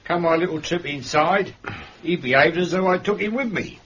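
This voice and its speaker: An actor with a Cockney accent playing a grumpy, cheeky manservant in a radio drama, blunt and colloquial, with a husky voice. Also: male voice, a little high, moderate pace, fairly bright tone, highs cut off, slight background noise, lightly acted. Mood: indignant, grumbling.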